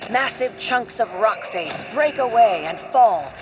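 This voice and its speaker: A woman's voice: on the high side, lively intonation, a little slow, noisy background. A woman narrating a scripted radio adventure play in a dramatic, descriptive storytelling manner. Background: music.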